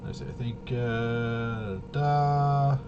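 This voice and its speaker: A man casually explaining game rules unscripted, halting and rambling as he thinks aloud. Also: steady tone, muffled recording. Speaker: tabletop RPG reviewer and explainer. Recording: clearly noisy with low rumble.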